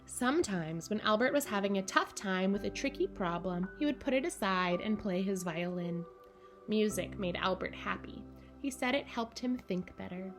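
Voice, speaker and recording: A woman reading a children's storybook aloud in an expressive, playful way, speaking directly to young listeners. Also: mid-range voice, normal speed. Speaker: camp director reading a picture book to children. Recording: music behind the voice. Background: background music.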